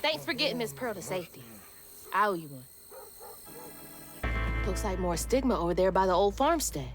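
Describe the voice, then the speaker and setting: A woman's voice: mid-range voice, sing-song, normal speed, natural voice. A woman playing a determined, blunt young woman in a video game. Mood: matter-of-fact. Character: determined, blunt young Southern woman searching for her mother. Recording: music behind the voice.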